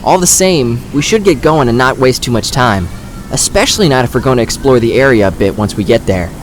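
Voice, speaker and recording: A man's voice: medium pitch, lively intonation, fairly quick, close to an everyday voice. Guy playing a friendly young guide in an audio drama, easygoing, voice moves around a lot, bit of background noise. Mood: friendly, upbeat. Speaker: voice actor.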